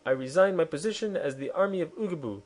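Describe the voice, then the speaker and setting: A man voicing a polite, stubborn young soldier who speaks calmly and firmly. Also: a little high, fast, pitched above the performer's own voice.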